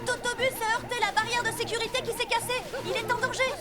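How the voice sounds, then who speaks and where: A woman's voice: very high voice, little pitch movement, quick pace, a loud crowd. A woman voicing a plucky, caring young rescue helicopter in a children's cartoon, eager and earnest. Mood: urgent. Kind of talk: French-dubbed children's cartoon acting.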